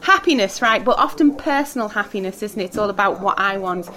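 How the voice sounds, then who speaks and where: A woman's voice: medium pitch, animated, brisk, bright, forceful tone. A woman teaching a church group in a casual, chatty way, laughing often and putting questions to the room over background noise. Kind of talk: talk with group discussion.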